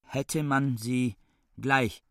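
An actor playing an obstinate, morbid man in a radio play, with a measured, grimly emphatic manner. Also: mid-range voice, fairly even intonation, no room sound, clean studio sound. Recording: loud music under the voice.

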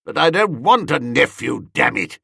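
Casting: male reader as pompous older magistrate uncle, stiff and spluttering, husky, wide-swinging delivery, comic storybook. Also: mid-range voice, sing-song, normal speed, sharp tone, dry recording, studio quality, exaggerated voice. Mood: aghast, incredulous. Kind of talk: comic audiobook character.

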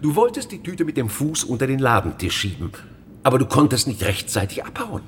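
A brutal, smug Soviet police interrogator played by a man, mocking and theatrical, with a highly animated mid-pitched voice. It sounds like radio crime drama, with faint background noise. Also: a little fast, close to an everyday voice. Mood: smug, triumphant. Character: menacing, gloating, brutal Soviet police chief inspector.

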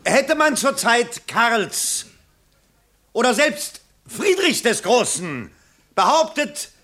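An actor in a 1970s German radio play, playing a pompous yet anxious election candidate with theatrical oratory. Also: male voice, extremely high pitch, very animated, slow, bright, forceful tone, full character voice. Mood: grandiose. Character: pompous, anxious male election candidate rehearsing and declaiming speeches.

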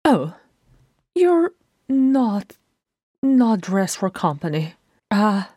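A narrator voicing a gentle, fussy male hobbit in an audiobook, with a wry, politely teasing manner. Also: mid-range voice, wildly swooping pitch, unhurried, no echo, studio quality, voice pushed up. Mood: flustered. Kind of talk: fan fiction audiobook character acting.

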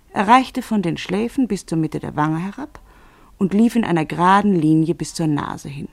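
A narrator reading a literary story for a radio play in a measured, wry, precise manner. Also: mid-range voice, creaky, darkish tone, dry recording.

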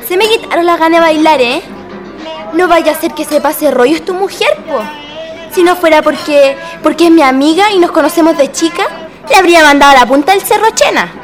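A woman's voice: high voice, brisk, close to an everyday voice. A woman playing a flirty, touchy, gossipy friend at a fair, with a Chilean accent, in a radio play. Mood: miffed. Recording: loud music and crowd behind. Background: festive music and crowd.

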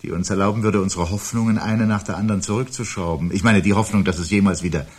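An actor playing a brooding, pedantic husband gripped by foreboding, in measured, formal, husky radio-play speech. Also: male voice, on the low side, fairly even intonation. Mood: brooding unease. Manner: measured, formal, portentous diction. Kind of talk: 1970s German radio play acting.

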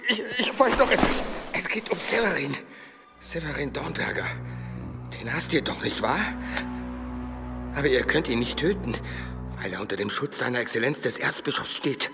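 A creaky, frightened fat monk. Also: male voice, mid-range voice, animated, moderate pace, long reverb tail. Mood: pleading, scared. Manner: pleading, stammering. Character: frightened fat monk, pleading, sly informer. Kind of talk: German audio drama acting. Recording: music behind the voice.

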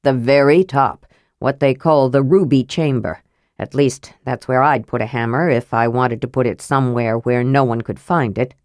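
Storybook dwarf, old male warrior, grumpy, gruff, very low; played by a female reader. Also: steady tone, moderate pace, a little mellow, dry recording, clean studio sound. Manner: gruff, dour, snorting.

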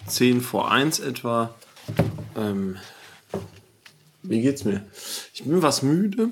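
A man recording a casual spoken diary, pausing to think as he recounts his days. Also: medium pitch, creaky.

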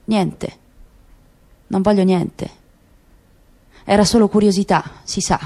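A woman voicing a calm, ironic middle-aged man in a radio drama reading, speaking quietly and with measure. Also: mid-range voice, slow.

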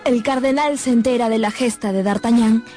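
Radio drama narrator: female, higher-pitched, scripted storytelling delivery over prominent music. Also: a little fast, dark tone.

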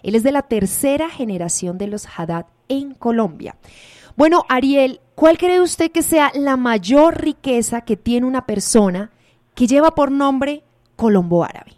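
A woman's voice: sits high, animated, unhurried, a little mellow, no room sound, clean studio sound. A woman hosting a radio interview, asking formal, polite questions. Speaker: radio host.

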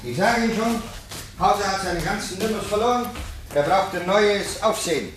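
A cold, officious police lieutenant in an old radio play. His fairly high male voice is clipped and sardonic, over faint recording noise. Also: moderate pace, fairly bright tone. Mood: sceptical, sardonic. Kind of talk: 1950s German radio drama acting. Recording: noisy vintage radio recording.

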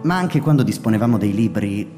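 An actor playing a weary, wise older male wanderer, who speaks in a slow, reflective and wry way. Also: on the low side, animated, close to an everyday voice. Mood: musing. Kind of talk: radio drama acting. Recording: reverberant studio with music.

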